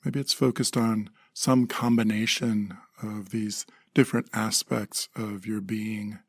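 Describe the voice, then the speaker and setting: A man guides a meditation calmly, leaving pauses between short phrases. His voice is low and very husky with a lot of creak, and it stays even. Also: no echo, clean studio sound. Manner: calm, gentle, measured phrasing with pauses.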